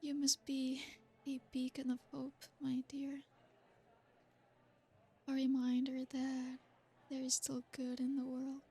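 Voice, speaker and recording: A woman voicing an ancient, possessive royal vampire in an ASMR roleplay. She speaks slowly and seductively, with soft whispered asides. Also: high-pitched, completely flat delivery, heavily acted. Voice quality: breathy, soft-spoken. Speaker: ASMR creator.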